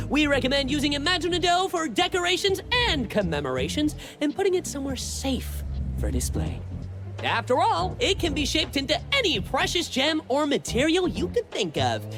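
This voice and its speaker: A woman playing a chirpy young toy shop clerk in an anime-style game, with effusive sales patter. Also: high-pitched. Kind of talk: animated anime game voice acting. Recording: music behind the voice.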